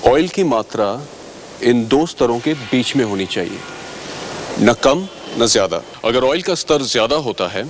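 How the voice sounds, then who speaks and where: A man's voice: mid-range voice, lively intonation, unhurried, a little hiss or hum. A man presenting a scripted training film as a service advisor, courteous and instructive, addressing viewers directly.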